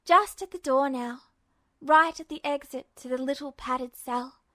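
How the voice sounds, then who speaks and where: Female fiction narrator, high register, dramatic audiobook delivery, dry recording. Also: measured pace, bright, forceful tone, no room sound.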